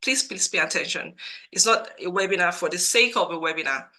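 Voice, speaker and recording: A woman's voice: fairly low pitch, expressive, brisk, pushed and bright. A woman from Nigeria hosting a live career webinar over a video call, earnest and repetitive for emphasis, her voice a little cracked from a cold. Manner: earnest, repeats herself for emphasis. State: has a cold, voice a bit cracked. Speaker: webinar host and career coach. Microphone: computer mic on a video call.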